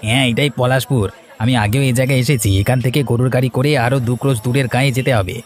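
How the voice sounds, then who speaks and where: An actor playing a deferential, practical business manager in a cartoon story. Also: male voice, mid-range voice, steady tone, rapid-fire, a faint crowd, a little hiss or hum, natural voice.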